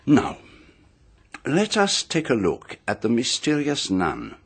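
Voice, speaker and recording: A self-assured, pompous detective in a radio mystery. His husky voice swings widely in pitch as he lays out each point with formal, deliberate grandeur. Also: huge pitch swings, heavily acted. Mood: methodical revealing. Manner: deliberate, formal, theatrical phrasing. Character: pompous, meticulous male private detective, theatrical and self-assured. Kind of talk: radio mystery drama acting.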